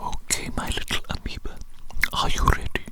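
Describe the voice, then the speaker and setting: Whispering woman in an ASMR doctor roleplay. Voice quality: breathy, unvoiced whisper. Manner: slow, deliberate, repetitive, doting. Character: eccentric, doting evil doctor giving tests.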